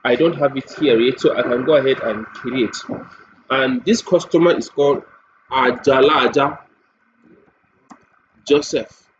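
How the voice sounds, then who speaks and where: A man with a Nigerian accent gives an unscripted, step-by-step spoken walkthrough as a software demonstrator. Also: medium pitch, a little slow, pauses often. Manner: step-by-step explaining. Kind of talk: screen-recorded product walkthrough, unscripted. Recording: dull lo-fi mic with faint noise.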